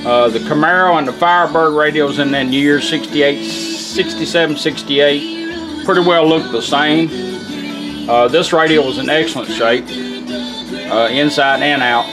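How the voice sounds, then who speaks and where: A man's voice: fairly high pitch, a little slow, music in the background, poor audio. A man giving an informal, folksy product demonstration, explaining how things work as he goes. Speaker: radio restorer demonstrating a product.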